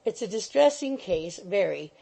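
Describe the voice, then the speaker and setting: A woman's voice: mid-range voice, animated, moderate pace, vocal fry, warm tone, rough recording. A woman voicing a fussy, bustling little male attorney who coaxes and keeps saying "my dear sir". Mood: wheedling. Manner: coaxing, repeats "my dear sir".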